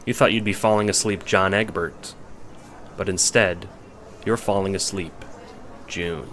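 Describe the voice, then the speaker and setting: Male narrator, lower-pitched voice, scripted audiobook storytelling, second person. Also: steady tone, a little slow, fairly bright tone, slight background noise.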